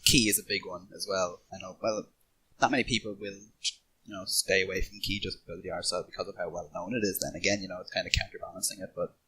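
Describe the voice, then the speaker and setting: A man's voice: deep voice, fairly even intonation, quick pace, sharp tone, husky, rough recording. A man in a casual podcast discussion, thinking aloud in a hesitant way as he gives his opinions.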